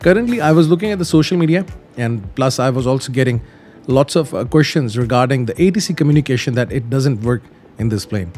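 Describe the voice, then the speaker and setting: A man narrates a casual how-to in a mid-pitched voice, with faint music behind him. He explains each step plainly and repeats the simple instructions as a tutorial host would. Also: lively intonation, fast talker, a little mellow. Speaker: host of flight simulator tutorials.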